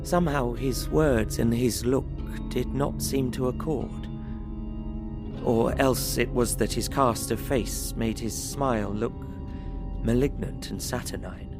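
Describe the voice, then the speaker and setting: An actor playing an earnest, polite young English solicitor, narrating his journal in measured, bookish Victorian phrasing for an audio drama. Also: medium pitch, music in the background. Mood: uneasy.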